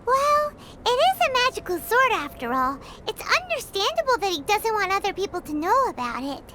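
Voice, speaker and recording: A woman's voice: big pitch swings, a little fast, heavy background noise. A woman voicing a small, childlike female fairy companion in an anime-style video game, perky, cheeky and exclamatory. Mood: teasing. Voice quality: squeaky, childlike. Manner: refers to herself in third person, exclamatory. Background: game music.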